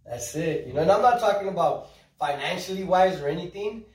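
A man in his thirties, a guest chatting casually on a podcast, upbeat and animated, acting out quoted voices and dropping in Spanish words. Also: high-pitched, fairly quick. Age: 30s. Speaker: podcast guest, social media influencer. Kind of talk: casual chat. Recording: roomy, mic at a distance.

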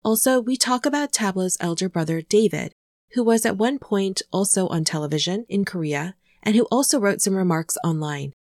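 A woman's voice: mid-range voice, moderate pace, darkish tone. Friendly young American woman hosting a casual podcast chat. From United States. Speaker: podcast host.

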